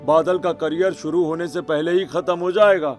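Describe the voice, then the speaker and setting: A man's voice: a little high, steady tone, normal speed, faint music behind, close to an everyday voice. Man putting on a stern middle-aged father. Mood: worried. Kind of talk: Hindi cartoon story dialogue acting.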